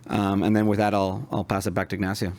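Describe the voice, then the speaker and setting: A man from Argentina, a native Spanish speaker, explaining company finances to investors in a measured way with frequent fillers. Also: lowish voice, level tone, a little mellow, slight background noise. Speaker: finance executive presenting. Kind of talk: investor presentation.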